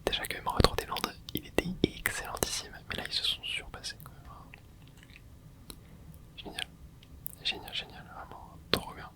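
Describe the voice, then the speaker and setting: A host whispering casual ASMR commentary over gameplay, reacting excitedly and chatting to viewers. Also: moderate pace, pauses often. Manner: casual, chatty, self-correcting. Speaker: channel host.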